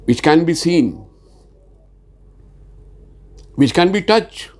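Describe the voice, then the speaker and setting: A man's voice: fairly high pitch, animated. A man from Bihar, India, with a Hindi-accented Indian English, giving an insurance training presentation, hesitant with many fillers and rising to stress key points. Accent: Indian English, Hindi-speaking. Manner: slow, hesitant delivery with frequent uh fillers.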